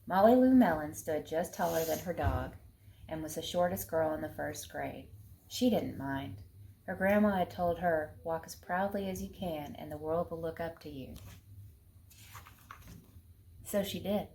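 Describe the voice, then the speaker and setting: Female storyteller, low-pitched, reading a picture book for children, faint noise behind. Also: normal speed, creaky, dark tone, poor audio.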